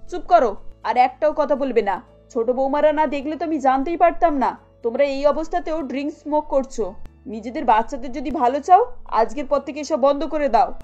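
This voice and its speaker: A woman acts out an older mother-in-law ruling her house in a cartoon. The voice is high, grudging and curt, with a scolding edge. The audio is a little dull over a music bed. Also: fairly quick, mellow, lightly acted. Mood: angry, scolding. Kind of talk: cartoon story dialogue.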